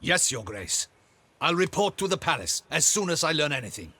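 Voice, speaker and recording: An actor playing a stern, dutiful guard captain in a fantasy video game. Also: male voice, a little high, big pitch swings, normal speed, bright, forceful tone, husky, heavily acted. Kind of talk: dramatic video game acting.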